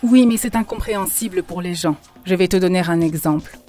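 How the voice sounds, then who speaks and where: A woman voicing a calm wise teacher. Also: on the low side, fairly quick, soft background music, lightly acted. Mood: instructive. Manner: calm, instructive.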